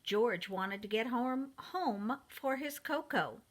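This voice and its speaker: Expressive woman reading slowly to young children. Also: fairly high pitch. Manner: expressive, deliberate, addressing children directly. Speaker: preschool teacher reading a picture book. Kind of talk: storybook read-aloud for young children.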